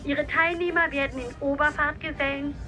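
High-voiced ceremonious announcer. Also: female voice, steady tone, moderate pace, sharp tone, faint music behind. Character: official announcer of the Kaiser's travel society. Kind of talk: radio play announcements.

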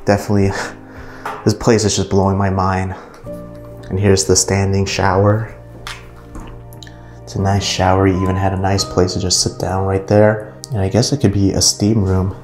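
A man's voice: low voice, flat delivery, normal speed, long pauses, darkish tone, soft background music. A man casually narrating as he walks through an abandoned mansion, easily impressed and full of fillers, recorded on a camera mic indoors. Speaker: urban explorer vlogger.

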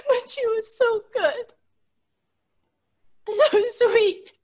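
A woman's voice: very high-pitched, extremely slow, a little mellow, heavily acted. An actress playing a distraught, grieving young woman in an old-time radio horror play, fragile and imploring. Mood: sobbing grief. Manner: melodramatic, plaintive, imploring. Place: home recording, live remote video call.

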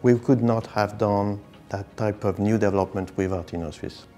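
Middle-aged male executive, dark relaxed timbre, interview delivery for a company film. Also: on the low side, normal speed, faint music behind. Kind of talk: corporate video interview.

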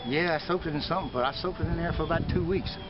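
A man's voice: fairly high pitch, very fast, muffled recording, over music. An older man chatting casually outdoors, picked up by someone else's handheld camera. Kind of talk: casual chat. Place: outdoors at the open door of a van. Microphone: handheld camera held by someone else.

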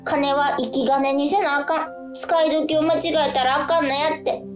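An actress playing an earnest, caring young daughter who is wise with money, with a Kansai accent. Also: female voice, high voice, fairly even intonation, normal speed, close to an everyday voice. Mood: earnest, pleading. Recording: echoing, with clear music behind.

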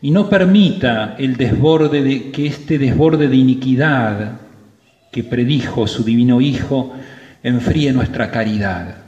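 A priest preaching a sermon at Mass, slow and emphatic, stressing key words. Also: male voice, mid-range voice, darkish tone, muffled recording. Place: church. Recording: roomy church with long reverb.

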